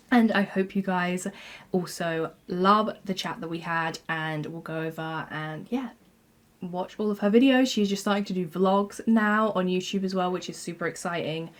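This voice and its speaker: Gushing podcast host, slightly low voice. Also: female voice. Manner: enthusiastic and gushing, lots of "like" and "kind of". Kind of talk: podcast intro and interview questions.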